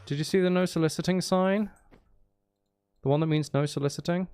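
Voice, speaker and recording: A man's voice: fairly high pitch, fairly even intonation, brisk, a little mellow, clear, resonant tone. A man chatting casually as he hosts a gameplay livestream, teasing his viewers with playful mock threats. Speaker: streamer, host.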